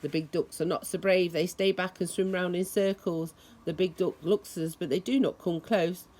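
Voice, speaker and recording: A woman reading a children's story aloud in a deliberate, expressive way, imitating the sounds it describes. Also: on the low side. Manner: deliberate, acts out noises expressively.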